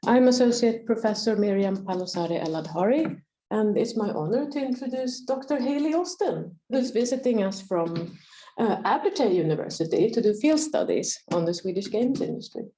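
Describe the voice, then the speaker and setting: A woman's voice: mid-range voice, lively intonation, normal speed, mellow, low-quality recording. A woman professor welcoming the audience and introducing a guest speaker, with a few hesitant fillers. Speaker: associate professor hosting the seminar. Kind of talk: formal introduction.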